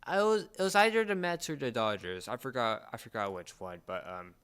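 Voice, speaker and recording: A young man co-hosting a casual podcast chat, speaking in a halting, rambling way and often repeating words. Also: medium pitch, animated, fairly bright tone, clear, resonant tone. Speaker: podcast co-host.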